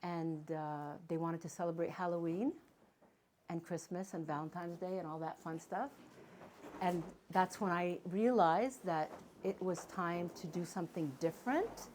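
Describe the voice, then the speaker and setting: A woman's voice: on the low side, steady tone, unhurried, darkish tone, a little hiss or hum. An older woman from Canada, a guest speaker and matchmaker, talking casually to a live audience and telling stories with playful asides. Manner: chatty storytelling with playful asides.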